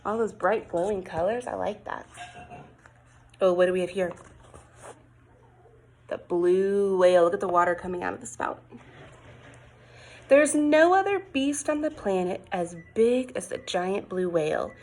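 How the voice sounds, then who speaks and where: Slightly husky woman reading to children. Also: medium pitch, a little slow, pauses often. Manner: expressive, coaxing, exclaiming over the pictures. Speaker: teacher reading a picture book aloud to young children. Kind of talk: sing-song children's read-aloud with questions to listeners.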